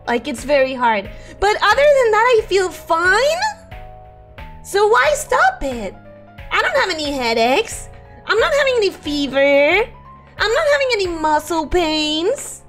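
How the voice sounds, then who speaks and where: A young woman streaming casually, her voice hoarse and ragged from sickness, giggling often as she reads chat and greets viewers. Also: very high voice, big pitch swings, a little slow, a bit bright, soft background music.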